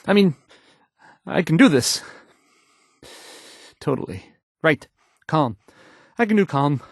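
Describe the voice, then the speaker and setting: Nervous young male game DJ, timid, stammering, unsure of himself, jumpy animated pitch, unhurried pace. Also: dry recording, clean studio sound, heavily acted. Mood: nervous, panicky. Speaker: radio DJ. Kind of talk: video game character dialogue.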